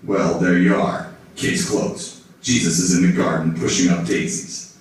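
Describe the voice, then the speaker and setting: Man playing a low-voiced hard-boiled puppet detective, echoey. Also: flat delivery, measured pace. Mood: wry, joking. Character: wisecracking, down-on-his-luck hard-boiled male private detective puppet. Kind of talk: film-noir detective parody in a puppet show. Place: indoor hall, behind a puppet stage. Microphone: far camera in the audience. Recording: echoey room, distant audience camera.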